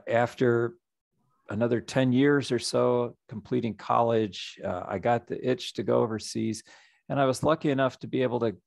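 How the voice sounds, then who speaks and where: A middle-aged man, a nonprofit executive director, giving a reflective, measured story-led presentation over a video call. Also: medium pitch, gravelly, darkish tone, no echo, clean studio sound. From United States.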